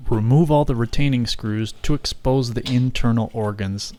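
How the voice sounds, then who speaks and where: A man's voice: medium pitch, normal speed, noisy background. A man hosting a scripted how-to video, narrating with playful, mock-dramatic phrasing. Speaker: video host.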